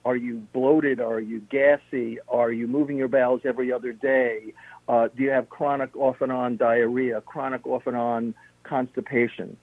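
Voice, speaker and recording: Male guest, instructive and methodical, husky tone on a narrow phone line, dry. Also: mid-range voice, moderate pace, no room sound. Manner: steady, instructive, lists points one by one. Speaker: health practitioner guest. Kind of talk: radio interview, explaining health tips.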